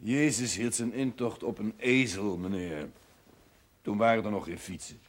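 A man's voice: fairly low pitch, moderate pace, background noise, lightly acted. A man acting a brusque village doctor in a period television drama, articulate, condescending and dryly witty. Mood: sardonic, matter-of-fact. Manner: dry, sardonic, articulate.

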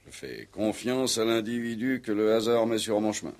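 Male actor as older military commander, low voice, stern, pompous, clipped, authoritative, vintage radio drama. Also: normal speed, darkish tone, close to an everyday voice. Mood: stern, proud. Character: retired army commander, proud stern war-hero notable. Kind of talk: 1960s French radio play acting.

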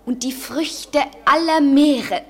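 Radio play role: dreamy, eager woman in her twenties, high girlish voice, poetic delivery. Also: slow pace. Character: Jennifer, eager, dreamy 23-year-old American student in love. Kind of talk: 1970s German radio play acting.

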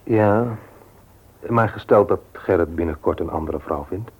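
An actor playing a mild, loving but cautious husband in a radio play, speaking in a measured, questioning way. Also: male voice, deep voice, breathy edge, heavily acted. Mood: cautious, doubtful. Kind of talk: Dutch radio play acting. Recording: muffled old radio play recording.

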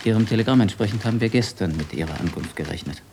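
An actor playing a cold, formal shipping office manager in a radio drama, speaking in a businesslike way. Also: male voice, on the low side, animated, fast talker, creaky, a little mellow, a little hiss or hum. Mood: cold, matter-of-fact.

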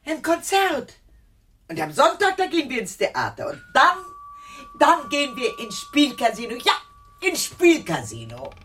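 Giddy older German radio-play aunt, swooping voice. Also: female voice, high voice, huge pitch swings, a little slow, a bit bright, slight background noise, exaggerated voice. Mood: excited. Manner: theatrical, effusive, exclamatory. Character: giddy, extravagant older aunt, girlish and pleasure-loving.

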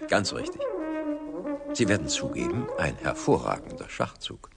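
Smug corporate villain for radio drama: very deep, gravelly, sardonically courteous. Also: male voice, wildly swooping pitch, normal speed, loud music behind the voice, full character voice. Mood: coolly menacing. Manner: polished, sardonic, theatrically courteous. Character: smooth, smug, menacing male company director. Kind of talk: German radio drama acting.

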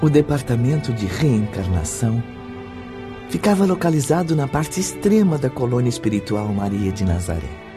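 A man's voice: medium pitch, very animated, moderate pace, natural voice. A man with a Brazilian accent narrating a spiritual radio drama as a solemn storyteller. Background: music.

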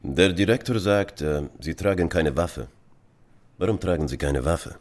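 Male, formidable Russian interpreter-henchman for radio drama: extremely low voice, formal, insistent, stern. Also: measured pace, a little mellow. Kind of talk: audio drama dialogue.

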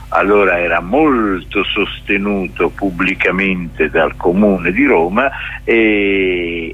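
Very low-voiced, halting man. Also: breathy edge, phone-quality sound. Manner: hesitant, halting, searching for words. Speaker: festival curator interviewed as guest. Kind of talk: spontaneous radio interview answers.

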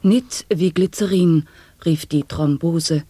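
A performer reciting experimental sound poetry in a studio radio play, very slow and quiet, sometimes whispering. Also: mid-range voice, slightly rough, no room sound. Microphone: studio microphone.

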